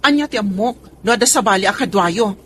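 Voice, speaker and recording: A woman's voice: mid-range voice, animated, a little fast, a bit bright, natural voice. A woman acting in a radio drama as a jealous, defiant wife and protective mother. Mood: angry, defiant. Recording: faint background noise and ambience.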